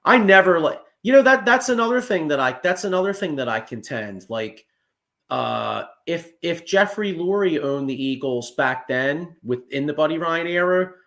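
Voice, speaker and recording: A man, hosting a casual sports chat, excitable and profane with lots of restarts. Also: on the high side, normal speed. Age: 40s. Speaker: podcast host.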